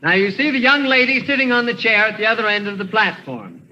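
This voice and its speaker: A gentle inventor, highish voice. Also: male voice, animated, heavily acted. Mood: showman. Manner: polite, halting, dazed. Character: gentle middle-aged male inventor, showman turned grieving widower. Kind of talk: 1940s radio acting. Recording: hissy, muffled old radio broadcast.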